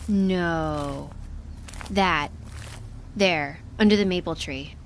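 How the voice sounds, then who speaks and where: A woman's voice: lowish voice, very slow, a little hiss or hum. A woman playing an observant crew scientist in an audio drama, hesitant yet matter-of-fact, with an exasperated edge. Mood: hesitant alarm. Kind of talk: naturalistic audio drama acting.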